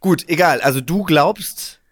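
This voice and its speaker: A man's voice: fairly high pitch, measured pace, dry recording, clean studio sound. A man co-hosting, talking casually and conversationally. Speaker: co-host. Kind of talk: casual chat.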